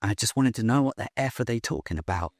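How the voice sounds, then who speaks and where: A British man in his thirties talking in a casual interview, blunt and animated, swearing now and then. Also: mid-range voice, fast, no room sound, studio quality. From Britain. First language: English. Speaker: guest.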